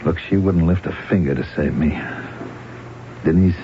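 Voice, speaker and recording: Male, deep, playing a scared debtor in vintage radio drama; pleading manner, dull hissy broadcast. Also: moderate pace, natural voice. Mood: anxious, pleading. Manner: anxious, pleading. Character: desperate, frightened, indebted married man. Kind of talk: 1970s radio drama acting. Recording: muffled, noisy old radio broadcast.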